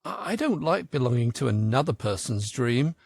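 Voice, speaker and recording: A puzzled young girl from a children's storybook, read by a male narrator. She muses aloud with lively intonation and a mildly complaining tone, in a very dry recording. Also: medium pitch, moderate pace, gravelly, breathy edge, no room sound, heavily acted. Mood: musing, complaining.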